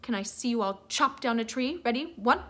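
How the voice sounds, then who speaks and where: A woman's voice: a little high, animated, fairly quick, pushed and bright. A woman reading a children's story aloud like a teacher, theatrical and playful, coaxing her listeners to join in.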